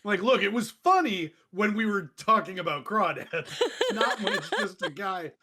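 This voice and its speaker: Male podcast host, higher-pitched and very animated, casual, jokey and self-deprecating, loose with swearing. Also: moderate pace, background noise. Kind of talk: casual chat.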